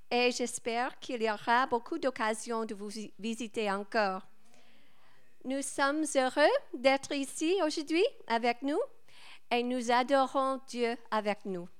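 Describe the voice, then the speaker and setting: An American woman with English as her first language and an American accent, giving a careful, halting greeting to a church. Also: a little high, smooth. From United States. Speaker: French teacher leading a visiting team.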